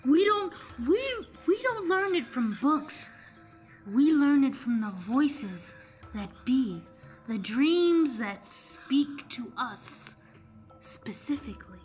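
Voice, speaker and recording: A woman acts out a haughty noble mystic from an improvised comic radio play. Delivery is slow, pompous and repetitive with lively pitch, over faint music. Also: full character voice. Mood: proud, mystical. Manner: pompous, dramatic, emphatic repetition. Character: haughty, mystical member of a shadow-communing noble house.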